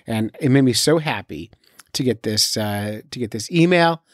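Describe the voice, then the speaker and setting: A man's voice: mid-range voice, expressive, normal speed, no echo, clean studio sound. A man hosting a podcast in casual, unscripted talk, informally addressing and urging his listeners directly. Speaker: podcast host.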